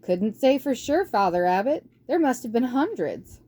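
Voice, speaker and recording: A woman's voice: a little high, sing-song, normal speed, warm tone, pitched above the performer's own voice. A woman voicing a plain-spoken, resolute female badger in a storybook, blunt and assured. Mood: firm, assured.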